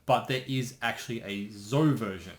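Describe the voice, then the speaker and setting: A man co-hosting a casual reaction chat, speculating and thinking aloud in a halting way. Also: lowish voice. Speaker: co-host, anime specialist. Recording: roomy, echoey sound.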